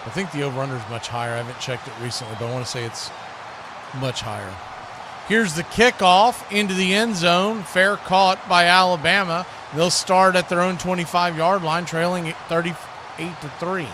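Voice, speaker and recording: Guy doing live football commentary, highish voice, noisy crowd in the background. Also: moderate pace. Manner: excitable, shouts on big plays, drops low in asides. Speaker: sports play-by-play host. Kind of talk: live football play-by-play and chat. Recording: crowd and game noise behind the voice.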